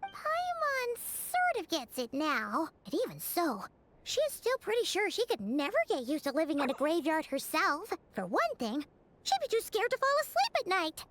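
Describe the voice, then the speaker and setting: A woman voicing a small, excitable, childlike fairy companion in a video game, with a squeaky, expressive voice. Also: normal speed, heavily acted. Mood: sympathetic, friendly. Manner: refers to herself in the third person, expressive. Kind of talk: video game character dialogue.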